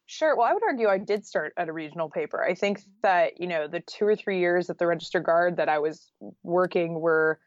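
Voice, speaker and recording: A woman's voice: lowish voice, fairly quick, no room sound. Woman, American accent, chatty interview guest, casual storytelling, dry close sound, slightly dull recording. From Oregon, United States.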